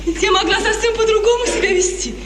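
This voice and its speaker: An actress playing a frightened, obedient young bride in a 1950s radio tragedy, speaking in a breathless, impassioned, tremulous way. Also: female voice, very high voice, quick pace. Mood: relieved. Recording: old echoey radio recording, hissy, long reverb.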